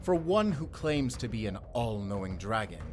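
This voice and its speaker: A man's voice: on the low side, very animated, normal speed, a little mellow, a little hiss or hum. A man playing a blunt, defiant human defender in a fantasy audio drama, curt and sarcastic.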